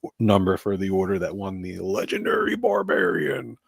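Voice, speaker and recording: A man's voice: lowish voice, sing-song, normal speed, creaky, breathy edge, dry recording, studio quality. A man hosting a casual podcast chat from New Jersey, joking and rambling with lots of fillers. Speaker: host.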